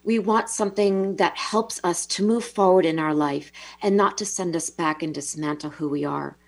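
A woman's voice: lowish voice, moderate pace, creaky. A woman co-hosting a motivational talk, speaking in a flowing, reflective, encouraging way. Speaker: co-host.

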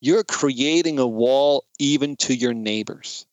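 A man with a German accent gives an informal educational talk in a smooth, clear voice of medium pitch. He explains things earnestly and reflectively, and the sound is dry and close. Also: slow pace, no echo.

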